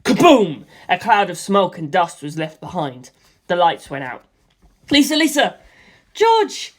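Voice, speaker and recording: A woman narrating a story in a steady, expressive audiobook reading. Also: lowish voice, a little slow, slightly rough. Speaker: reader.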